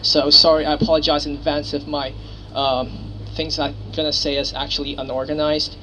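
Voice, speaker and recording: Young Hong Kong man, higher-pitched, speaking publicly. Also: level tone, normal speed, bright, forceful tone. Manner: apologetic, unpolished, heartfelt. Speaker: exchange student speaker at a rally. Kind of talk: impromptu personal public speech. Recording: noisy ambient recording with vehicle sounds.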